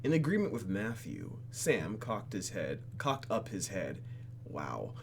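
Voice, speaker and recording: A man's voice: on the low side, wildly swooping pitch, measured pace, vocal fry, a little hiss or hum. A man hosting a let's play, reading the game's narration aloud expressively and dropping in jokey asides. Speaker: gaming host. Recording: game music under commentary.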